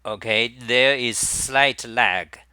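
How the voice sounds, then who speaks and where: A middle-aged man chats casually on a live stream, stopping and restarting his sentences often. His voice is bright and forward, and the sound is dry and close, with almost no room around it. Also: mid-range voice, slow pace, smooth, no room sound. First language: Chinese. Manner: rambling with many restarts and fillers. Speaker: livestream host.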